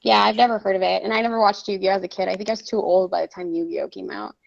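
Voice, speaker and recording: Female stream host, fraying gravelly voice, lo-fi capture, chatty. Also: medium pitch, fairly even intonation, rapid-fire. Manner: chatty, laughs often. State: losing her voice. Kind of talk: casual group chat on a stream. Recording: dull lo-fi stream audio.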